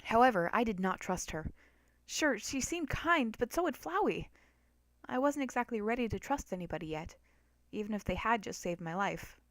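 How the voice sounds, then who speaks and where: A woman narrating a first-person story as a dramatic reading, in an expressive manner. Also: fairly high pitch, moderate pace, no room sound.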